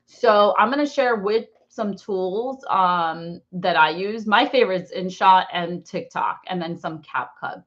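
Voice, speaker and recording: A woman in her forties with an American accent, teaching an informal online class in a chatty, rambling, expressive way. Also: medium pitch, muffled recording. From born in Brazil, raised in New Jersey, US. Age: 40s. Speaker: online course instructor.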